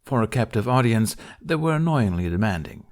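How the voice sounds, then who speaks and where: A man with a fairly low voice narrates a story. He reads in an expressive audiobook style, telling fan fiction as scripted prose. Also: brisk.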